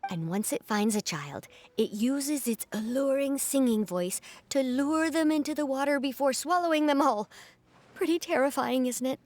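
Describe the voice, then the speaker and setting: A woman's voice: high voice, animated, moderate pace. A woman playing a dramatic, superstitious fishing official who talks like an earnest, theatrical storyteller in a video game. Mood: ominous storytelling.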